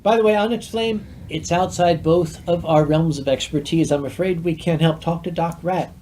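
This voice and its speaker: A man's voice: fairly high pitch, normal speed, darkish tone, slight background noise, low-quality recording. A man with a Philadelphia accent, co-hosting a livestream chat and telling dry, teasing anecdotes. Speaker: podcast co-host.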